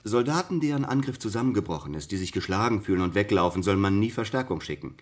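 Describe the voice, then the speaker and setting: A military expert in a radio play. He lectures with assurance in a somewhat low voice, explaining point by point. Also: expressive, quick pace. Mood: assured explaining. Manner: didactic. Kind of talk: radio drama studio analysis.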